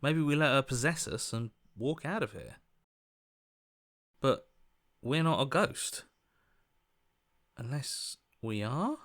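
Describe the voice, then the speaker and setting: A man voicing an earnest, anxious young hero in a video game, with a hesitant, questioning, expressive delivery. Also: mid-range voice, slow pace, pauses often, dry recording, clean studio sound. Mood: uncertain, conscientious. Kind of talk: video game character acting.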